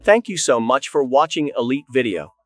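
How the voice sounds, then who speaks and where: A man's voice: medium pitch, moderate pace, faint music behind. A man in his thirties, a school presenter, giving a polished scripted promotional talk to camera in a studio. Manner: polished, even delivery to camera. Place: studio, voice-over on graphics.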